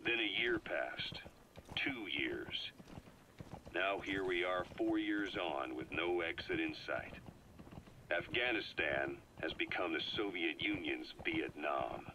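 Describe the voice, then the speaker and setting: A man voicing a grave veteran spy who delivers a deliberate, weighty scripted briefing in a video game. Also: low-pitched, expressive, sharp tone, a little hiss or hum, heavily acted. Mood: grave, measured. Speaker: intelligence briefer on an audio tape. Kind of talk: dramatic scripted briefing narration. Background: horse hooves and game ambience.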